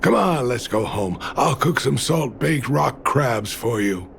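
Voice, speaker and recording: An actor playing a kindly, gentle father in a video game, caring and reassuring. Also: mid-range voice, expressive, slow, creaky, a little hiss or hum. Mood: concerned. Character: kindly, gentle working-class father, adult man. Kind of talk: English video game voice acting.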